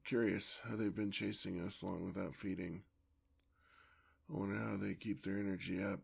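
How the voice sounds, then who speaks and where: A man playing a weary, tender, courtly male vampire in an ASMR roleplay, with a husky, gravelly voice and formal, old-fashioned speech. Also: low voice, fairly even intonation, normal speed, full character voice.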